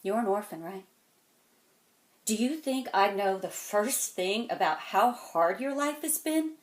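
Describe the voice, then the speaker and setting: A woman performing a dramatic monologue as a weary, wounded, stern mentor, speaking deliberately and intensely, moving between hushed and forceful. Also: medium pitch, expressive, lightly acted. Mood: hurt, angry. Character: weary, wounded, stern mentor confronting a cocky young genius. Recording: echoey room.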